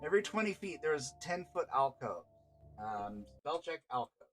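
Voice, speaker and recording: A man's voice: on the high side, very animated, normal speed, a bit bright, faint music behind. A man reading scripted fantasy narration aloud as a game master and storyteller.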